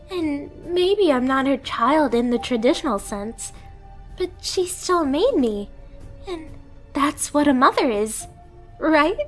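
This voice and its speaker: A woman playing a timid, clumsy young catgirl pet who is eager to please, speaking in a meek, hesitant, apologetic way in an anime-style ASMR roleplay. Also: high voice. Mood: hurt, defensive.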